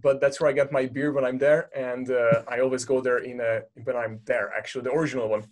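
A man from Hungary with a Hungarian accent hosts a podcast in a mid-pitched voice. He speaks casually, thanks his guest again and again, and leans on fillers like "um" and "you know". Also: flat delivery, fast talker. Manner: friendly, effusive thanks, fillers. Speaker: podcast host.

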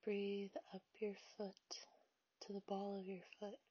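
Woman meditation guide: breathy half-whisper, unhurried delivery, muffled dry home recording. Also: mid-range voice, monotone, dry recording. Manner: soft, half-whispered, slow and soothing.

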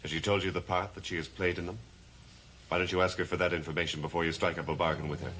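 A man's voice: sits low, level tone, fast talker, a bit bright, slight background noise, low-quality recording. An actor playing a proud, mocking man of a cursed family in a gothic soap opera, sardonic and theatrical. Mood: mocking, defiant.

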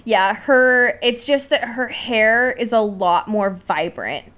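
High-voiced woman co-hosting a podcast. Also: slow pace, fairly bright tone. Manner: explanatory, informal with swearing. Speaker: podcast co-host. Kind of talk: casual film discussion chat.

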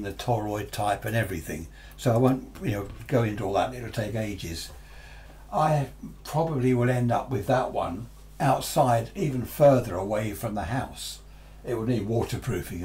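A man's voice: medium pitch, a little mellow. A man in his seventies giving an informal, unscripted hobby tutorial, chatting along with rambling asides. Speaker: amateur radio hobbyist presenting a tutorial. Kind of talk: informal unscripted explanation to camera. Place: small cluttered home radio room, indoors.

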